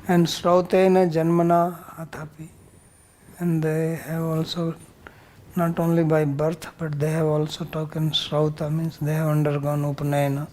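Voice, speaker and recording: A man's voice: fairly high pitch, mellow, rough recording. A man teaching scripture as a religious teacher, bluntly correcting and explaining Sanskrit terms word by word. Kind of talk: verse-by-verse scripture teaching with questions and answers.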